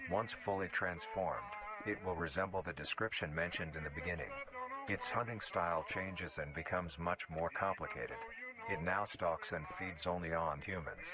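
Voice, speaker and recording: A man reading scripted narration in the style of a nature documentary, formal, measured and matter-of-fact. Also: deep voice. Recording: music behind the voice. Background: clearly audible music.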